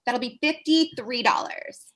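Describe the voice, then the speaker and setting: A woman's voice: a little high, a little slow, fairly bright tone. A woman playing a breezy young seller of occult goods in a comedy table read.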